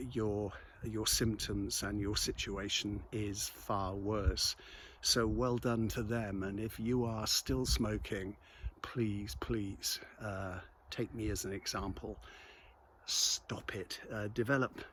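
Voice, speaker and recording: An older man with a fairly low voice gives a chatty, reflective monologue. He pauses and feels his way through his thoughts while out walking. Clear outdoor background noise sits behind him. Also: a bit bright. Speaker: local video blogger and commentator. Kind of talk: casual spoken monologue to an audience while out walking.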